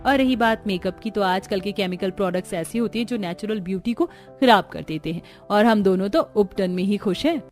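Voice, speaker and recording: A woman's voice: medium pitch, brisk, faint music behind, natural voice. A woman playing a sensible, traditional young village sister-in-law in a cartoon, admonishing and plain-spoken. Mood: defensive.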